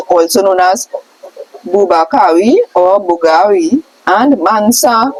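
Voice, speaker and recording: Woman reading aloud, lively pitch swings. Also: a little hiss or hum, poor audio. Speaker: reader of a scroll text. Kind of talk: reading aloud a scripted question-and-answer lesson.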